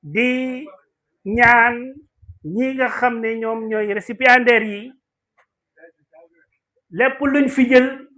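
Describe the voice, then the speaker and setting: High-voiced Senegalese man, slow ceremony speech. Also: bright, forceful tone, poor audio. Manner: halting, deliberate delivery with pauses. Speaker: speaker at a school awards ceremony. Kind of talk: formal ceremony speech mixing French and Wolof.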